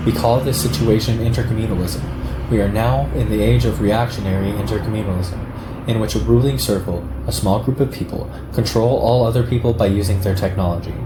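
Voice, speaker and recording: Low-voiced man reading in an echoey room. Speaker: reader of a political essay. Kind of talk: read-aloud political text, audiobook-like. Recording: echoey room with clear background noise.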